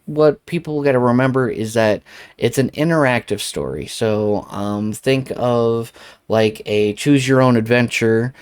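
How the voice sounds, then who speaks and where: A man hosting a casual software tutorial, speaking in an informal, conversational and somewhat hesitant way. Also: mid-range voice, clean tone. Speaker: tutorial host.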